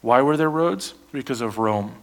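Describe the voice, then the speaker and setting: A man preaching a sermon as pastor, animated and full of rhetorical questions to the congregation. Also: mid-range voice, moderate pace.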